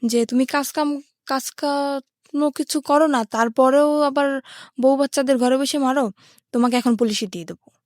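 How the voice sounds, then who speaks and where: A woman's voice: high voice, steady tone, moderate pace, a little mellow, no echo, clean studio sound. A woman from southern Bangladesh telling her personal story as a guest, plainly and colloquially, step by step. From Patuakhali, southern Bangladesh.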